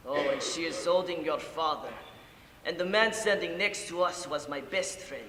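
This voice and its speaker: A man performing a dramatic monologue as an old, boozy, guilt-ridden Ukrainian grandfather, speaking halting broken English. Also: fairly high pitch, a bit bright, close to an everyday voice. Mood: fond reminiscing. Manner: halting broken English with sighs and murmured asides. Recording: echoey hall, distant, audible room noise.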